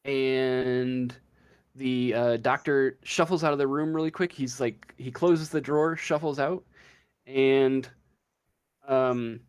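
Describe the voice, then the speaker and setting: A man narrating a tabletop role-playing game as game master, improvising scenes in a halting, hesitant way. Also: mid-range voice, fairly even intonation, smooth. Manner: halting, hesitant, feeling for words.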